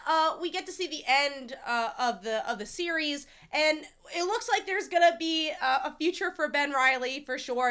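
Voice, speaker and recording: Bright nasal woman reviewing comics. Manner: chatty, many restarts and fillers, lively rises in pitch. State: nasal from a cold. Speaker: comic book reviewer, channel host. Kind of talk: casual review talk to camera.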